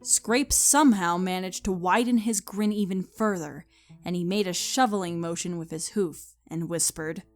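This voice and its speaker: A woman's voice: on the low side, normal speed. Woman narrating a story over music. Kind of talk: scripted dramatic story narration.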